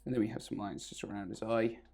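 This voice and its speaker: A man with a sore throat and a crackly, gravelly voice, talking casually through a drawing tutorial, often trailing off to a near whisper. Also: fairly low pitch, flat delivery, quick pace, darkish tone.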